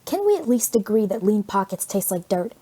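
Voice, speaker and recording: A woman's voice: mid-range voice, very animated, a little fast, dark tone, slightly rough. Female narrator as teenage boy hero, wisecracking, breezy, sarcastic, chatty, audiobook dialogue. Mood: playful taunting. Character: wisecracking fifteen-year-old boy superhero, cheeky and chatty.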